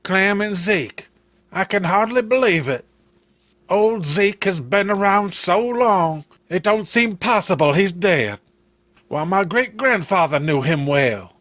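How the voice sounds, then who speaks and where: A man's voice: high voice, lively intonation, slow pace, fairly bright tone, no echo, pitched above the performer's own voice, heavily acted. A man playing a stubborn, skeptical rural sheriff with a folksy, blustering manner in a comic story. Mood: disbelief.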